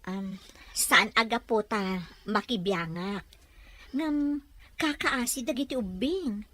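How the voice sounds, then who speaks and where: A woman's voice: fairly high pitch, takes it slowly, sharp tone, heavily acted. A woman playing a kindly, frank neighbor in an audio drama, asking sympathetic questions. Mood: pleading, reproachful. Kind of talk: Ilocano audio drama acting.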